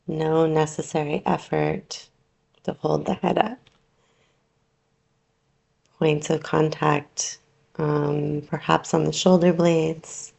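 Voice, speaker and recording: Very slow, creaky low-voiced woman guiding relaxation. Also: little pitch movement. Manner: soothing, unhurried, gently instructing with long pauses. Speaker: yoga nidra teacher. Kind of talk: guided relaxation meditation.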